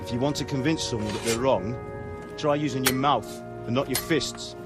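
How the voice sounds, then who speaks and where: An actor playing the ghost of a stern, wise blacksmith father in a game cutscene, speaking in a steady, measured way over a music score. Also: medium pitch, natural voice. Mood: advising. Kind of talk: video game cutscene acting.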